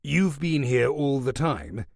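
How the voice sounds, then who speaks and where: A man voicing a calm male police detective who questions in a level, matter-of-fact way. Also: medium pitch, slow pace, gravelly, dry recording, clean studio sound, heavily acted.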